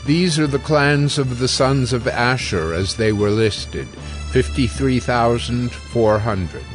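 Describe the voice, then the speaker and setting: A man narrating scripture from a script in a solemn, formal and measured way. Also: medium pitch, soft background music.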